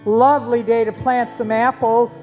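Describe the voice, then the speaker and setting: A woman playing a cheerful, dreamy, kind-hearted apple planter in a puppet show, with wondering, drawn-out exclamations. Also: on the high side, level tone, phone-quality sound, over music, lightly acted. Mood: dreamy, happy. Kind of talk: puppet show acting with sung passages.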